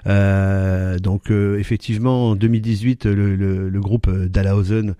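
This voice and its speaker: A man's voice: lowish voice, level tone, unhurried, dark tone, clean tone, no echo. A man answering interview questions as a company executive, hesitant, with many fillers and restarts.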